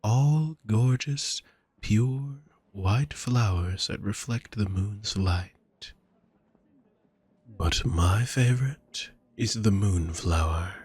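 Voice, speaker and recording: Man playing a slow, husky, courtly vampire. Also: lowish voice, no room sound, exaggerated voice. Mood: wistful, seductive. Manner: gentle, formal, flowery, teasing endearments. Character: courtly, doting, possessive male vampire shopkeeper. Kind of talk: intimate roleplay audio drama, addressing the listener.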